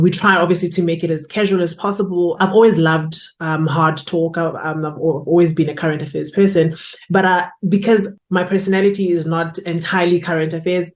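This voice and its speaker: A woman's voice: fairly low pitch, a little mellow. A woman with a South African accent talking casually in an interview as a podcast producer and host.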